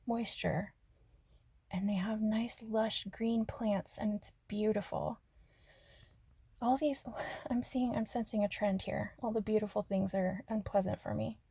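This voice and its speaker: A woman from Arizona chatting softly and rambling in a half-whispered ASMR style, joking about herself. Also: medium pitch, breathy edge, dry recording. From Arizona, USA. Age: adult. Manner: soft, half-whispered, self-deprecating, giggly. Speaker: ASMR creator. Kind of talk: soft-spoken rambling ASMR chat.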